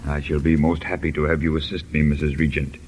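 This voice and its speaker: A man acting a mysterious, controlling occultist in a vintage radio drama, speaking with formal, measured courtesy. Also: very deep voice, level tone. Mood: suavely courteous. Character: mysterious male occultist, courteous, calmly controlling, secretive master. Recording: noisy old radio broadcast recording.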